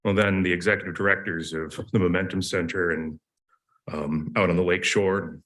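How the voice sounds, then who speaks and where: Hoarse low-voiced man, sarcastic. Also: steady tone, moderate pace, a bit bright. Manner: sarcastic, rambling storyteller. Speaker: public commenter. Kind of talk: public comment at a meeting. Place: county committee meeting room. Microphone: podium microphone.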